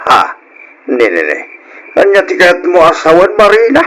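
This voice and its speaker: An actor playing an exasperated, bewildered husband in a radio drama, questioning, with a Filipino accent. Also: male voice, sits high, huge pitch swings, normal speed, a bit bright, heavily acted, poor audio. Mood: exasperated, chiding. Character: exasperated husband, bewildered by his wife's choices. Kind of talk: Ilocano radio drama acting.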